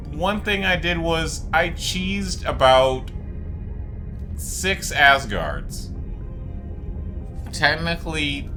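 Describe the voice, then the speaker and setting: A man giving casual, rambling gameplay commentary, explaining things with frequent asides. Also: mid-range voice. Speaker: gaming video creator narrating gameplay. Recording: game music behind the voice. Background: video game music.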